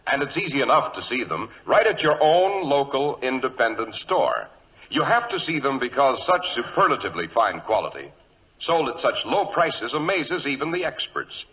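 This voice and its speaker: A man's voice: mid-range voice, big pitch swings, moderate pace, sharp tone. A man reading a scripted, emphatic sales announcement as a vintage radio advertising announcer. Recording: dull vintage radio recording.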